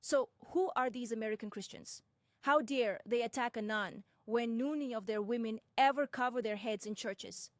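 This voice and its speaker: Measured young woman. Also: on the high side, dry recording, clean studio sound. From Russia. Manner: measured, deliberate, with frank asides. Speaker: personal commentator. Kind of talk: first-person reflective narration.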